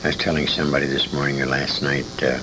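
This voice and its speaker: A man's voice: a bass voice, flat delivery, fast talker. An older man, a former radio actor, reminiscing as an interview guest in a rambling, self-correcting way on a noisy amateur recording.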